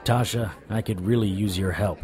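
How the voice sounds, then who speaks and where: An actor playing a stubborn, wisecracking supernatural private investigator in an audio drama, wry, flirtatious and persuasive. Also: male voice, fairly low pitch, steady tone, moderate pace, darkish tone, natural voice. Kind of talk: audio drama acting with noir internal monologue.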